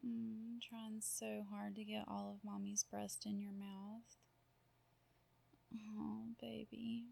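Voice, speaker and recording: A woman speaking in a soft, cooing half-whisper with drawn-out murmurs, in an intimate audio roleplay. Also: medium pitch, level tone, vocal fry. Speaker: roleplay performer.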